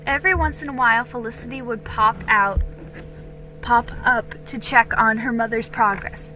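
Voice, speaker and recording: Female storybook narrator, high-pitched, bright and forceful, slow dramatic reading over music. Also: low-quality recording. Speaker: story reader.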